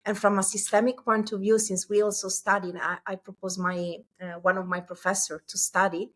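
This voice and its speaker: A woman with an Italian accent, a startup CEO talking as a guest in an interview, pausing often and searching for English words. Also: mid-range voice, level tone. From Italy. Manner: halting, many filler sounds, searching for English words. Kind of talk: conversational interview.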